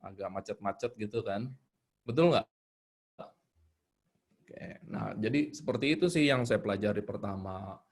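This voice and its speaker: A man with a fairly low voice speaks casually as a guest storyteller in an online call. His manner is informal and hesitant, and he often searches for the right words. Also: moderate pace, pauses often. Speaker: guest storyteller sharing tips. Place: online live video call.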